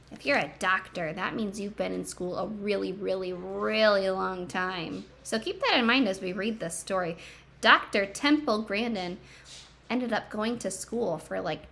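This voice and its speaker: A woman reading a picture book aloud to children in an expressive, emphatic way, pausing to explain and ask questions. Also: mid-range voice, gravelly, slight background noise.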